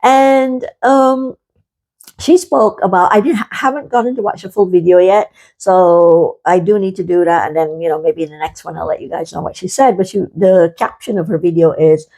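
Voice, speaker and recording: A woman's voice: lowish voice, moderate pace, dark tone. An older woman from Barbados talking in a rambling, earnest vlog monologue, full of fillers and pleading asides. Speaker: vlogger.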